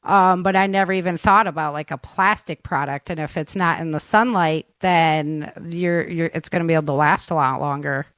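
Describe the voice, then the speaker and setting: A woman's voice: deep voice, steady tone, normal speed, few pauses, fairly bright tone, smooth, no room sound. A woman hosting a casual interview, chatting in an encouraging, affirming way with her guest. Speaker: podcast host interviewing a guest.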